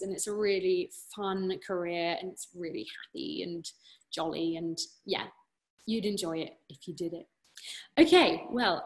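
A woman's voice: mid-range voice, rough recording. A young British woman, an opera singer, answering questions in a casual online Q&A from her living room, encouraging and full of fillers. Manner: chatty, encouraging, many fillers and restarts. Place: living room at home, indoors. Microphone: laptop webcam on a video call.

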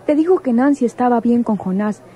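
A woman's voice: fairly high pitch, lively intonation, fairly quick, muffled recording, close to an everyday voice. An actress playing a proud, wary woman in a radio soap, earnest and firm. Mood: urgent warning. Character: proud, wary, insistent woman warning a man. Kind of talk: Spanish-language radio soap acting.

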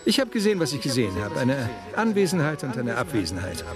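Man acting an agitated opinionated fellow, very animated, declamatory, radio play, music behind. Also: mid-range voice, fast, natural voice. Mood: indignant. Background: sitar music.

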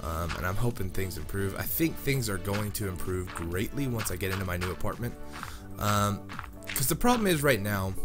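A young man with a head cold, his voice nasal and scratchy, chatting casually while playing a game. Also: sits low. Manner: rambling, chatty, many fillers like "um" and "like". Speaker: Minecraft YouTuber. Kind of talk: casual commentary over gameplay. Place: home room, recording near a sleeping girlfriend. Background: background music.

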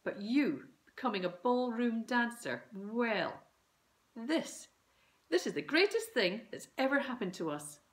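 A woman voicing a weary, gruff middle-aged dad who speaks bluntly. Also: a little high, very animated, unhurried, voice pushed up. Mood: earnest.

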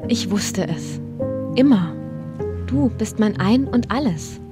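An actress playing a picky, haughty, dreamy young princess in a comic radio play. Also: female voice, medium pitch, expressive, takes it slowly, music in the background. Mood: lovestruck.

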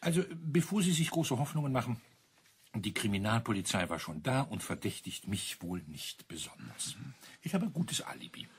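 Wry colonel, very husky voice. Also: male voice, mid-range voice, sing-song, moderate pace. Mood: dry teasing. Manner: teasing, expository, savours his account. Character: wry, self-assured male colonel relishing a murder mystery. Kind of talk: German crime radio play acting.

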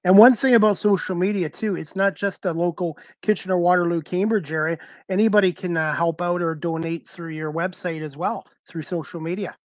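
A man's voice: sits high, steady tone, a little fast, hardly any pauses, no room sound, studio quality. A middle-aged man casually interviewing a guest as talk show host, speaking into a headset. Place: indoors, video call.

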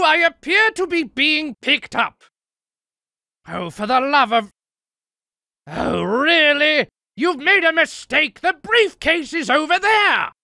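High-pitched fussy, flustered civilian. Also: male voice, gravelly, sharp tone, dry recording, clean studio sound, exaggerated voice. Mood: indignant. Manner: prim, whiny, pleading, exclamatory. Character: stout, fussy, indignant, flustered middle-aged civilian man. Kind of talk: improvised video game character voice lines.

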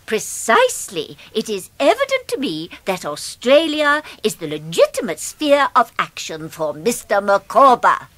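An actress playing a fragile, devoted, genteel middle-aged English wife in a radio drama, with melodramatic delivery. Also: female voice, on the high side, sing-song, a little slow, hardly any pauses, a bit bright, no room sound. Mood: earnest, dignified.

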